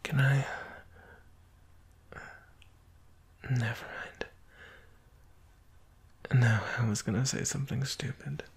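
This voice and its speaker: A young man playing an anxious, devoted werewolf in an intimate ASMR romantic roleplay, speaking hesitantly in a husky, breathy voice. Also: mid-range voice, fairly even intonation, brisk. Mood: shy longing. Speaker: roleplay voice actor.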